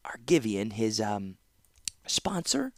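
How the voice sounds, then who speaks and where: A man's voice: fairly low pitch, huge pitch swings, takes it slowly, no room sound, clean studio sound, full character voice. A man voicing a nervous, trembling male court seneschal who stammers.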